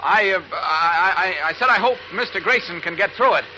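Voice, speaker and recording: A high-pitched, earnest male reporter hero in an old-time radio adventure. He speaks with urgency and impatience, pressing hard and cutting in. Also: normal speed, sharp tone, slight background noise, rough recording. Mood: urgent. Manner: impatient, pressing, clipped interruptions. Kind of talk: 1940s radio acting. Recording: old radio broadcast recording.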